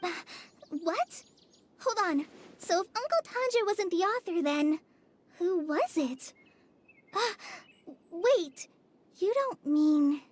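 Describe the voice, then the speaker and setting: A woman playing a small, childlike fairy companion in a game, squeaky, frank and full of questions. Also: expressive, slow pace, long pauses, exaggerated voice. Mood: puzzled, shocked. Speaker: character voice actor. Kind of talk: cartoonish game cutscene dialogue.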